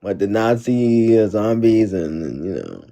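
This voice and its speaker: A man's voice: fairly low pitch, very animated, unhurried, warm tone. A man hosting a casual debate chat, joking and rambling with lots of repetition.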